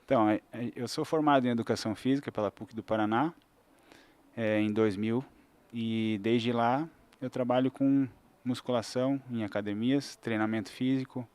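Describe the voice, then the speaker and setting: A man's voice: mid-range voice, steady tone, normal speed, a little mellow. A man in his forties from southern Brazil, a fitness trainer chatting as a podcast guest. He explains things at length in an easygoing way, with restarts and fillers. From Santa Catarina, Brazil. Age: 40s. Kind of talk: casual interview chat.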